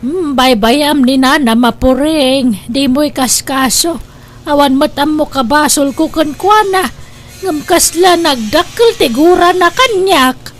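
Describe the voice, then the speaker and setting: A woman's voice: high-pitched, a little slow. A woman acting a mild, forbearing grown daughter of about thirty in an Ilocano comedy drama, with an Ilocano accent. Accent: Ilocano, northern Philippines.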